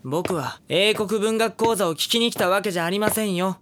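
A woman's voice: medium pitch, moderate pace, natural voice. Woman voicing a dry, level-headed teenage boy. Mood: exasperated. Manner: dry, deadpan retorts. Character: polite, level-headed high-school boy, dryly exasperated. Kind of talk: anime-style audio drama acting. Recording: studio drama with music underneath.

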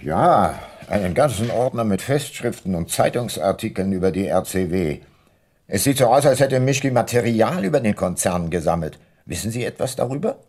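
An actor playing an ageing, remorseful detective and former prosecutor in a radio drama, narrating and talking in a measured, reflective way. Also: male voice, on the low side, big pitch swings, a little mellow, no room sound. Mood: probing. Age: older. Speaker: narrator and lead character.

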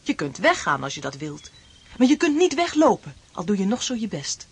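An actress playing an affectionate, playful young lodger in a radio drama, coaxing and direct. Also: female voice, mid-range voice, big pitch swings, moderate pace, husky, heavily acted. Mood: reproachful. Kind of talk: Dutch radio drama acting.

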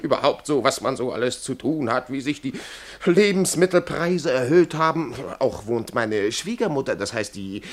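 A man's voice: mid-range voice, lively intonation, moderate pace, close to an everyday voice. A man playing a chatty, obsequious police officer in a radio play, rambling and digressing in a servile way. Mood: rambling, confiding. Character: chatty, obsequious, near-sighted male police officer angling for money.

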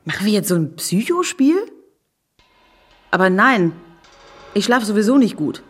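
A woman's voice: mid-range voice, animated, moderate pace, husky. An actress playing a sardonic married city woman in an audio drama, speaking in a dry, ironic, conversational way. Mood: teasing. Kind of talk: German audio drama acting.

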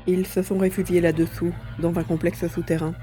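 Guy doing a tiny plump mouse-beaver alien, high chirpy voice, a bit testy, audio drama. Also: little pitch movement, a little fast, warm tone, faint music behind, natural voice. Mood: eager. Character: small plump male mouse-beaver teleporter, plucky, easily irritated. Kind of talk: science fiction audio drama dialogue.

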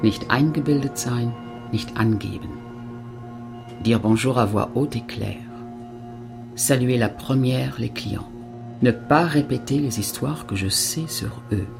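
A man with a husky, creaky voice reading scripted literary narration in a radio play. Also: medium pitch, measured pace. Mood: measured. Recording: music behind the voice.

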